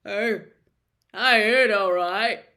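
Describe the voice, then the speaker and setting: Lady doing a big loud giant groundskeeper guy, all flustered and pleading, storybook character. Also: mid-range voice. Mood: upset. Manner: flustered, halting, imploring. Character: nervous, pleading giant gamekeeper, massive and male.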